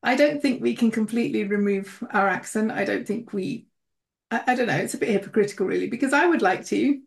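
A woman's voice: medium pitch, a little fast, gravelly. A woman with an English accent in casual conversation, speaking earnestly with frequent little laughs and restarts.

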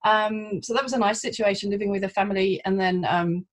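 A middle-aged woman from London chats as a podcast guest. She pauses often and fills gaps with small hesitations. Her voice has an average pitch for a woman. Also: fairly even intonation. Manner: chatty, hesitant, frequent fillers. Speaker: translator, interview guest. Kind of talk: conversational interview.

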